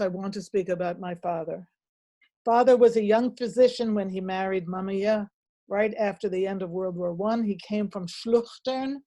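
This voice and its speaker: Older woman, former professor, mellow voice, reads from a memoir, unhurried and careful. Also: medium pitch. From Netherlands. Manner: measured, with frequent ums and pauses. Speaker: retired sociology professor. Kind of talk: speaking and reading from a memoir at a meeting.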